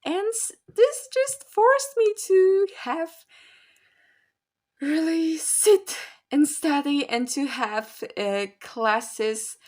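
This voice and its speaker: A woman's voice: high voice, expressive, darkish tone. A young woman from Poland, chatting candidly to camera about learning languages. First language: Polish. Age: young adult. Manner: chatty, candid, slow and musing. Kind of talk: casual talk to camera.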